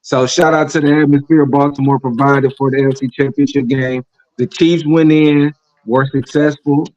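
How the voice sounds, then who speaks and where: A man with a fairly high voice hosts a casual sports podcast. He opens each topic in a loose, profane, hyped-up way. His mic sounds a bit dull and lo-fi. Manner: loose, profane hype delivery. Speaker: podcast host.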